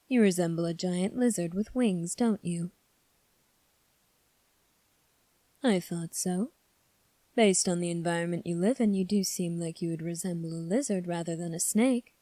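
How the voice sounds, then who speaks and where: A woman playing a calm, sly young prince in an ASMR roleplay, speaking in a measured, formal, teasing way. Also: fairly low pitch, no room sound, natural voice. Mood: smooth, teasing. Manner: measured, formal, coolly probing. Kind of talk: ASMR roleplay audio drama.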